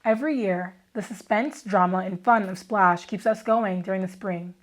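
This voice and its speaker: A woman anchoring a student news show, reading scripted links in an even, measured way. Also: medium pitch.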